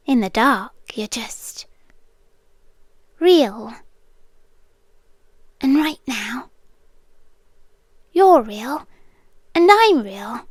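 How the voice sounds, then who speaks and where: Woman acting a slow, high-voiced, whispery British yandere stalker. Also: no room sound. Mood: hushed, intense. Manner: hushed, deliberate, slipping often into whispers. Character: obsessive yandere stalker girl, intense, soft-spoken, longing, menacing. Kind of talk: ASMR roleplay, intimate monologue. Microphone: close microphone.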